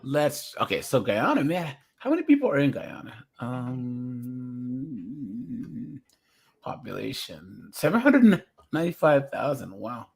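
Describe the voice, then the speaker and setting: A man's voice: mid-range voice, huge pitch swings, measured pace, creaky, husky. A man hosting a casual livestream reaction, chatty and rambling as he laughs along. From United States.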